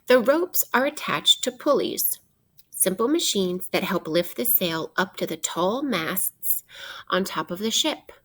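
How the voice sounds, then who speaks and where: Woman reading aloud slowly and expressively to children. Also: mid-range voice. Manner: expressive, instructive, pausing to put questions to young listeners. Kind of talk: interactive read-aloud of a children's nonfiction book.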